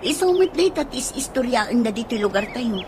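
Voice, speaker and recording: A woman playing a fretful adult daughter in an Ilocano radio comedy drama, speaking in an animated way. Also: fairly high pitch, a little fast. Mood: indignant. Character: fretful adult daughter, a gossip-troubled nurse. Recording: radio drama with clear background noise.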